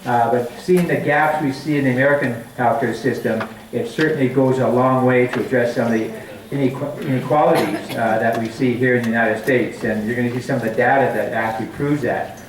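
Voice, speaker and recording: A man's voice: medium pitch, fairly even intonation, fairly quick, noisy background. A middle-aged man from Canada, a family physician giving a chatty public talk with many restarts and fillers at a community forum. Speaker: guest speaker, family physician. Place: community hall meeting room. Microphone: handheld mic, far camera. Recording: echoey hall from far off.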